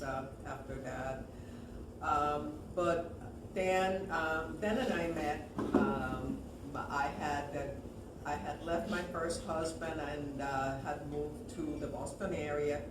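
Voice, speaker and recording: Woman, memorial storytelling, rambling, halting, distant microphone, roomy echo. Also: sits low. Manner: hesitant, rambling, many pauses. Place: large room at a memorial service. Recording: echoey, noisy room, far from microphone.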